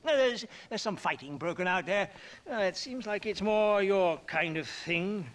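High-pitched, blustering Englishman. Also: animated, unhurried, a bit bright. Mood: blustering, persuasive. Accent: British English. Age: middle-aged. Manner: blustering, stammering, pompous, tags phrases with "what?". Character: dissolute, jovial middle-aged English opium trader in the Old West. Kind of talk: video game cutscene acting.

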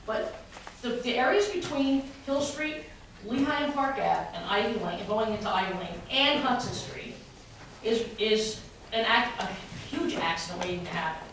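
A woman's voice: a little high, lively intonation, moderate pace. Woman complaining to city council, lots of restarts, far off in a big echoey room with noise. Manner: earnest complaint with many restarts, growing more heated. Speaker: resident speaking at public comment. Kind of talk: public comment at a council meeting. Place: large wood-paneled council chamber. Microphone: podium mic recorded by a far camera. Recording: echoey chamber from far off, noisy.

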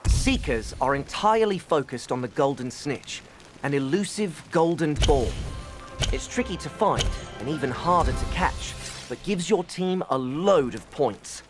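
A keen young wizard coaches newcomers in a scripted game tutorial. His voice is slightly high and moves animatedly up and down. Music plays clearly behind him. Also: animated, unhurried. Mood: instructive, keen.

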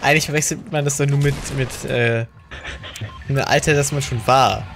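A man's voice: medium pitch, steady tone, normal speed, creaky, music in the background. Chatty young male game streamer. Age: 20s. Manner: chatty, addresses viewers, teasing. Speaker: streamer, channel host. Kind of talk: casual gaming livestream chat. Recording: noisy game audio behind.

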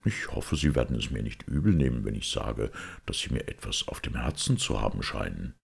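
A man voicing a small, kindly priest detective in an audiobook, speaking gently, calmly and earnestly. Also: rumbling low, brisk, vocal fry, husky, heavily acted. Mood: kindly probing.

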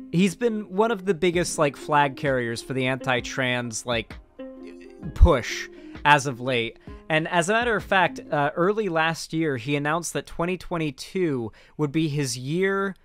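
A young American man talks casually to his livestream audience in a slightly high voice. He is opinionated and blunt, rephrasing as he goes, close on the mic with some music behind him. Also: fairly bright tone. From central West Florida, American South. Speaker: political commentary streamer. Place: small home streaming room, indoors. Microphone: close microphone on boom arm, headphones on.

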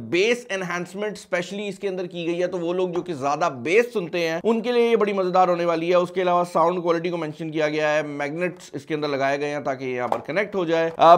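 A man in his twenties with a Pakistani accent, giving a casual, chatty and emphatic product review with English phrases mixed in. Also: high voice. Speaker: tech reviewer and video host. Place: small indoor studio at a desk.

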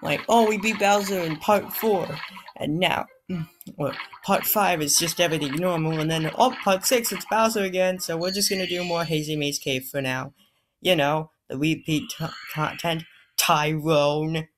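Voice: mid-range voice, normal speed, noisy background. A gamer hosting a casual let's play, talking in a playful, rambling way that drifts into babble. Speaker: gaming video host.

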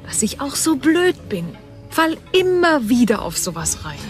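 A woman's voice: high-pitched, sing-song, a little slow, faint music behind. An actress playing an impatient, headstrong young woman in a radio drama, blunt and colloquial. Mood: exasperated. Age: young adult.

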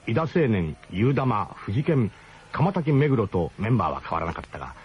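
A man's voice: medium pitch, breathy edge. A man narrating a comic radio drama as scripted storytelling, with a mock-solemn, literary delivery. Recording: muffled 1980s radio broadcast, faint noise.

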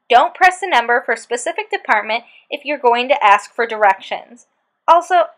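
A woman's voice: a little high, a bit bright. A young woman in her twenties reading her own book posts aloud with a sarcastic, exasperated delivery, recorded on a webcam in a small bedroom. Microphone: webcam at desk.

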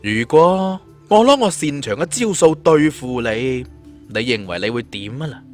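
A man's voice: medium pitch, animated, measured pace, close to an everyday voice. A man with a Cantonese accent voicing a confident, cunning young martial-arts hero in a story, taunting and glib. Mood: cool, taunting. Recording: music under the voice.

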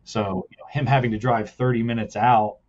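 A man's voice: mid-range voice, fairly even intonation, brisk, darkish tone, muffled recording. A man who owns laundromats, explaining his business in a casual interview, earnest and step by step, often adding "like" and "right?". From United States. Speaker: laundromat owner guest.

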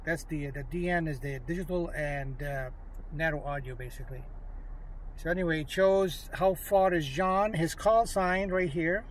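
A man with a slightly high voice talks as a ham radio operator in a casual, polite way. His delivery is halting and informal, recorded on a phone inside a parked vehicle. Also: rough recording. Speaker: amateur radio operator filming a demonstration. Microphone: handheld smartphone.

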